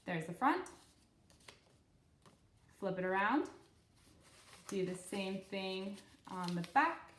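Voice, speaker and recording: A woman's voice: mid-range voice, lively intonation, slow pace, pauses often, a bit bright, a little hiss or hum. A woman in her thirties hosting a children's storytime, explaining things simply and encouragingly as she reads and guides young listeners. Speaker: children's storytime host and craft instructor. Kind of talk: read-aloud story and step-by-step craft instruction for kids. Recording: roomy, echoey room sound.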